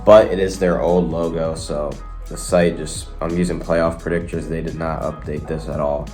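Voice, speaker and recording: A man's voice: a bass voice, little pitch movement, normal speed, a little mellow. A young man with a cold, sounding nasal, casually chatting through sports predictions and rambling to his viewers. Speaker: sports video host. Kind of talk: casual predictions talk to camera. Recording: faint guitar music behind.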